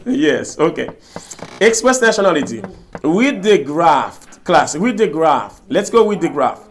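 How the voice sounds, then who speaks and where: A man with a fairly high voice and a Haitian Creole accent teaches a language class. A native Creole speaker, he works interactively, repeating short phrases and putting questions to the students. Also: very animated, measured pace, slight background noise.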